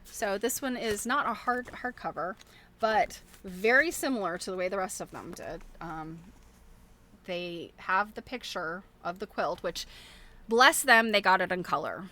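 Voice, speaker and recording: Bright-voiced woman rambling casually. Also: medium pitch, a little slow. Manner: rambling, thinking aloud, chuckling. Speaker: host of a quilting show. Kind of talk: casual show-and-tell chat.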